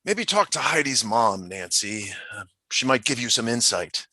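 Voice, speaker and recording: A nosy, casual high school principal, played by a man in a comedy table read. The voice is bright and animated, with very mobile pitch. The sound is close and dry. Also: normal speed, dry recording, heavily acted. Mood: casual, wry.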